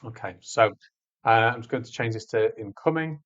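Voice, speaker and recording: Male tutorial presenter, medium pitch, conversational step-by-step instruction, somewhat lo-fi sound. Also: normal speed, fairly bright tone. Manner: informal, explains step by step. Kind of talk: screencast walkthrough, conversational instruction. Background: voice-over on a screen recording.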